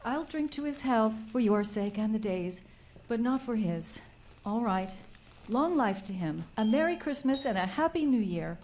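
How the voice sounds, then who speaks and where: A woman playing an indignant, sharp-tongued wife and mother in a live radio drama, biting and emphatic. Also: fairly high pitch, expressive, normal speed, slight background noise, lightly acted. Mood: grudging, sarcastic.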